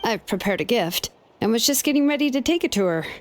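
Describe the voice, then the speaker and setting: A woman acts a young game blacksmith woman with a fairly high, very melodic voice. She is laid-back and wry, teasing in an easy way. Music sits behind her. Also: expressive, fast talker, lightly acted. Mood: easygoing. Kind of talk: video game character acting.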